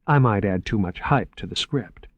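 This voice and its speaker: A sharp-witted young woman researcher with a cool, pointed, restrained delivery, read by a male narrator. The sound is dry and close. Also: fairly low pitch, lively intonation, moderate pace, no room sound, lo-fi sound.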